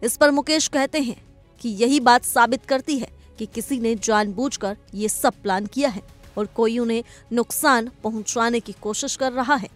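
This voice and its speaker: A woman's voice: fairly high pitch, moderate pace. A woman presenting a scripted entertainment news report as a narrator. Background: background music.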